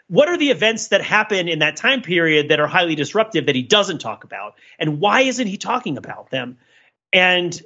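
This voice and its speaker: Animated, husky man thinking aloud. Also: high-pitched, highs cut off. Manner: rambling, digressive, thinking aloud. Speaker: podcast co-host. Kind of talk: casual, opinionated cultural commentary.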